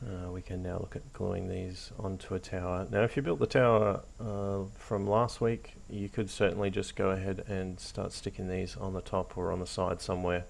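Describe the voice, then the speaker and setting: Very deep, gravelly man, casual tutorial. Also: level tone, moderate pace, hardly any pauses, a little hiss or hum. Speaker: hobby craft tutorial host. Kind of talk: casual unscripted tutorial narration.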